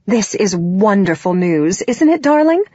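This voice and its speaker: A woman voicing a condescending, controlling middle-aged mother in an audiobook, with a husky voice. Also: medium pitch, very animated, a little slow, dark tone, no room sound, highs cut off. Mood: falsely sweet. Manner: haughty, disapproving, condescending.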